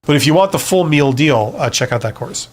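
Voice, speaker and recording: A man's voice: on the high side, expressive, a little fast. Frank, conversational male advice streamer. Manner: conversational, frank, often checks in with "right?" and "you know?". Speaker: live stream host, career advisor and former CEO. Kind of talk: casual live stream advice, answering viewer comments.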